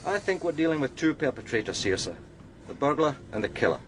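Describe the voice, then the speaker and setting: An actor playing a methodical male police detective, measured and respectful to his superior, in a radio crime drama. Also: on the high side, sing-song, slight background noise. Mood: analytical.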